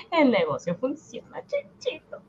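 Radio comedy: devout, excitable immigrant wife, very animated, exclaims and frets, dull lo-fi sound. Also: female voice, sits high, takes it slowly, a little hiss or hum, heavily acted. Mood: cheerful. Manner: exclaiming, wailing when worried. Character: fretful, pious wife of an immigrant banker. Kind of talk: comic radio drama acting.

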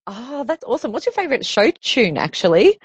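A woman's voice: fairly high pitch, sing-song, a little fast, husky, no room sound. An Australian woman hosting a podcast, bubbly and enthusiastic, reading her intro and then chatting with a guest. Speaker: podcast host. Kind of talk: scripted intro then casual chat.

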